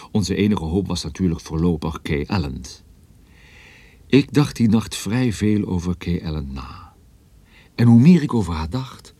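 A man's voice: fairly low pitch, warm tone, slightly rough, no room sound, heavily acted. An actor playing a wry, easily rattled male private detective in a radio drama. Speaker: lead actor and narrator. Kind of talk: radio detective drama with first-person narration.

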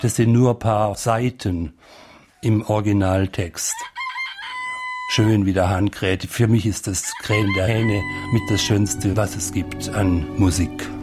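A man's voice: lowish voice, steady tone, measured pace. A man giving reflective, personal commentary as he watches a film. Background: music.

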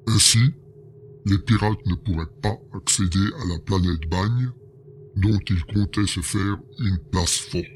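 An actor playing a grave male space agent who gives a slow, measured account in an amateur audio drama. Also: low voice, slight background noise.

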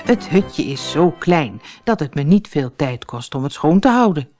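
A woman's voice: sits low, big pitch swings, unhurried, a little mellow, soft background music. A woman voicing a cheerful, optimistic old wife in a storybook fairy tale, upbeat and unbothered. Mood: cheerful, reassuring. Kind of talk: storybook character voice.

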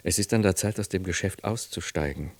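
An actor playing a cold, patronising corrupt official with a condescending, measured manner in a radio play. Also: male voice, deep voice, no room sound, lightly acted. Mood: cold, calculating. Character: calculating, corrupt high official, coldly patronising. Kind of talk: German radio play dialogue.

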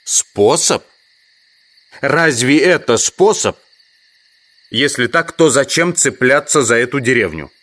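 A man playing a trapped, brooding entomologist in an audio drama, with deliberate, dramatic phrasing. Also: medium pitch, expressive, fairly bright tone. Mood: indignant. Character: trapped male entomologist, indignant, brooding, rational. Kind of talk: audio drama acting with inner monologue. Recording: music behind the voice.

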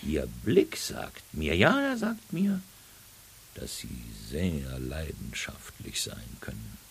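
An actor playing a sly cannibal monster in a radio drama, questioning victims with mock courtesy and a husky, theatrical, insinuating delivery. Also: male voice, extremely low pitch, huge pitch swings, slow, a little hiss or hum, heavily acted. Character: sly cannibal monster who politely interrogates his victims.

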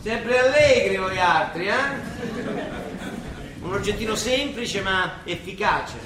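An actor playing a rebellious, disillusioned middle-aged priest in a radio drama, wry and plain-spoken with a Roman accent. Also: male voice, high voice, expressive, takes it slowly. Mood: sardonic, bitter. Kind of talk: Italian stage-style radio drama. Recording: echoey stage recording, distant mic, hiss.

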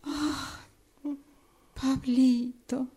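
A woman playing a weary, guarded mother in an improvised radio drama, speaking tersely with an Argentine accent and a breathy voice. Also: sits high, flat delivery, drawn-out words, warm tone, heavily acted. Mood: worried. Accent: Argentine Spanish. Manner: terse, echoing questions. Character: weary, guarded mother of a teenage daughter, knitting.

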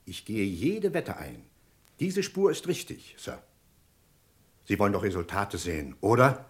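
Polite stubborn detective, low voice, reporting to the boss, old radio crime drama. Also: male voice, wildly swooping pitch, a little slow. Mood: confident. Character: deferential but determined police chief inspector. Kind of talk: vintage German radio crime drama acting.

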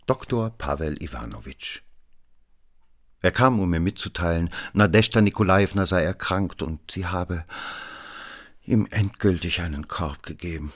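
An actor playing a sardonic, world-weary gentleman who tells of a guilty love affair in measured literary narration for a radio play. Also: deep voice, lively intonation. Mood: dry narration. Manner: measured, literary, dryly mocking.

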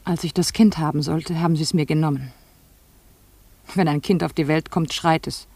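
An actress playing a wounded, yearning woman in a radio play, husky and breathy, putting poetic questions in an intimate way. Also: sits low, steady tone, moderate pace, darkish tone, no echo. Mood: grieving. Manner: poetic, questioning, sliding into whispers. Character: wounded, yearning rich woman confiding in her boatman. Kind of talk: intimate literary radio drama acting.

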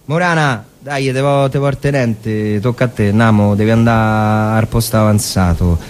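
Un uomo che interpreta un caporale preoccupato e popolano, con dell'Italia centrale, simile al romanesco. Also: male voice, on the low side, steady tone, normal speed, pitched below the performer's own voice, natural voice. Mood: urging, deferential.

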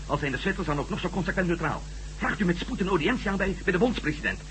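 Fast, animated, sardonic male adventure hero. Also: high voice. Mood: urgent. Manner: sardonic, urgent, argumentative. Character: sardonic, impatient male ex-astronaut reporter hero. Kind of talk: radio drama acting. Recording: faintly noisy old radio drama recording.

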